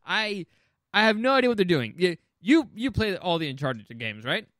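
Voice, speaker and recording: A man's voice: high voice, big pitch swings, moderate pace, a bit bright, no room sound, clean studio sound. A man hosting a casual movie chat, opinionated and emphatic, swearing freely. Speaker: podcast host.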